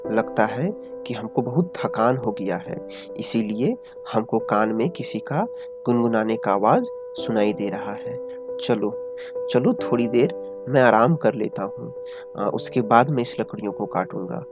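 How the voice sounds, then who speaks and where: A man's voice: on the low side, expressive, measured pace. A man playing a giant, weary woodcutter in a cartoon, puzzled and muttering colloquially to himself. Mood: tired, puzzled. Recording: clear background music with plucked strings.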